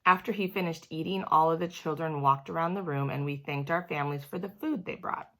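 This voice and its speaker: A woman storyteller reading a children's book aloud in an expressive, animated way with a childlike first-person delivery. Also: deep voice, brisk.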